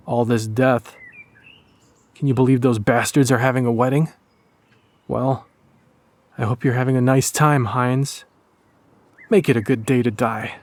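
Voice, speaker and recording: A man's voice: medium pitch, a little mellow, close to an everyday voice. A man playing a bitter, brooding Polish resistance executioner narrating his wartime journal in a dramatic audiodrama.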